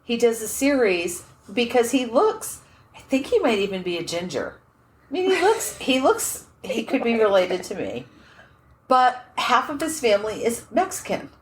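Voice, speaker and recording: Lively, animated woman telling a story. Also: on the high side, moderate pace, slight background noise. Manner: animated storytelling, laughs often. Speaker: podcast co-host. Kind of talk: casual chat. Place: room at a writers' conference.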